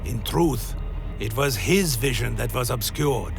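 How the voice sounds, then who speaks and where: An actor playing a brooding undead vampire warrior, narrating his own journey in a solemn, measured way for a dark fantasy radio play. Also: medium pitch, expressive, gravelly. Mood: tense, measured. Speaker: narrator and protagonist. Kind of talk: scripted first-person dramatic narration. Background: music.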